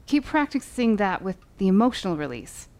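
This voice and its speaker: Female lawyer coach, lively intonation, encouraging, instructive, composed, naturalistic podcast drama. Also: mid-range voice, brisk, slight background noise. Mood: encouraging, businesslike. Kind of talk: naturalistic fiction podcast acting.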